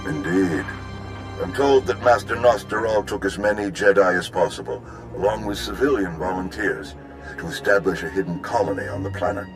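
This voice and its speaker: A genteel Republic officer in a video game cutscene. His low voice moves in lively swoops, with formal courtesy and effusive phrasing. Music plays clearly underneath. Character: courteous, tea-loving male Republic military overseer.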